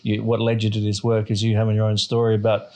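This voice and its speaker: A man's voice: lowish voice, monotone, fast, a little mellow. A man hosting a podcast interview in an informal, conversational way, rephrasing his questions as he goes.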